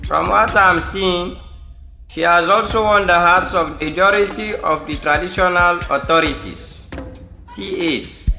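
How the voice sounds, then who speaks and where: A man's voice: high voice, pushed and bright, heavily acted. A man with a Sierra Leonean accent playing a worried noble, formal and earnest, in an audio drama. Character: worried male noble plotting against a female rival. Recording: echoey room.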